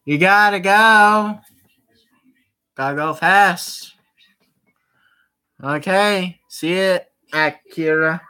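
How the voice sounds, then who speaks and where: A game streamer of unclear sex reading a visual novel aloud, halting and explaining who is speaking or thinking. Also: medium pitch, pushed and bright. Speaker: gaming streamer. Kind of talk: live gaming commentary, reading visual novel dialogue aloud.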